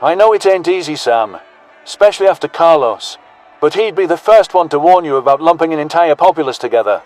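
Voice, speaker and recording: Give me a man acting a brash but protective soldier in a sci-fi audio story. He talks in blunt, folksy slang with a fairly high male voice, music faint behind. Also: expressive, fairly quick, darkish tone, lightly acted, rough recording. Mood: firm.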